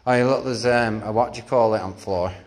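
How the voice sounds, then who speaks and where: A man's voice: on the low side, quick pace, a little mellow. A British man chatting casually and in slang to viewers and friends as an urban explorer filming on a handheld camera. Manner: informal, slangy, addressing viewers. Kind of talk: casual chat while exploring. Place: indoors, corridors and rooms of an abandoned building. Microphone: handheld action camera. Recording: echoey large empty building, noisy handheld camera.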